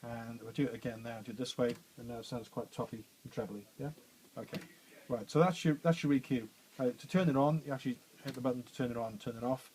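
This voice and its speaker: A man with a bassy voice, chatting informally through a radio studio training session with frequent fillers and restarts. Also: fairly even intonation, fast, darkish tone, a little hiss or hum, poor audio. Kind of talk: hands-on technical demonstration.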